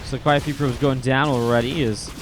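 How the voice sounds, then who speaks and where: A man's voice: mid-range voice, brisk, over music. Sniffly man casting a live game. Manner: steady, builds excitement in fights. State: sniffly with a slight cold. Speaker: esports caster. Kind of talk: solo play-by-play commentary.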